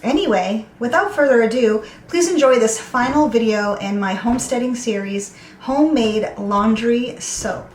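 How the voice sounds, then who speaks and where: A woman hosting a homesteading vlog, a bit hoarse from losing her voice, chatting in a friendly way and clearing her throat now and then. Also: on the high side, normal speed, few pauses. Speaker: homesteading channel host. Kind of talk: casual vlog intro to camera. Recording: echoey room.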